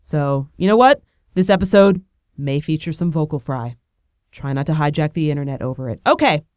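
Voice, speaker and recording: A woman hosts her podcast in a bubbly, rambling, self-deprecating monologue, speaking with a cold. Her voice sits on the low side yet stays smooth and clear. The recording is dry and close, with no room sound around her. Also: expressive, moderate pace, a little mellow, no room sound. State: has a cold. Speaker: podcast host. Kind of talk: casual chatty monologue.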